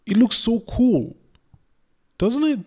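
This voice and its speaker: A young man from Cameroon reacting to a video in casual commentary, excitable and stammering through restarts. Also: very high-pitched, slow pace, husky.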